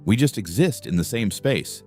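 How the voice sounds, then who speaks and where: A man playing a curt young male student, defensive, low voice, animated delivery. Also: normal speed, faint music behind, lightly acted. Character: prickly young male student seeking solitude.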